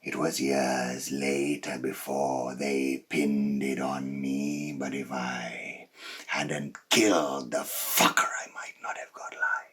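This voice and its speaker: A man acts out a cold, boastful serial killer in a stage monologue. The voice is extremely deep and husky, and he speaks slowly and deliberately. There is menace in the dramatic pauses and swells. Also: fairly even intonation, fairly bright tone, full character voice. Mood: cold, unrepentant. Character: cold, boastful male psychopath serial killer telling his story.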